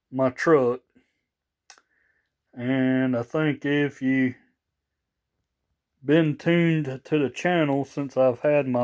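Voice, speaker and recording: A man casually showing off gear for a vlog, rambling and halting as he repeats himself. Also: medium pitch, a little mellow, clean tone. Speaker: vlogger showing radio gear. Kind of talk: casual product showcase.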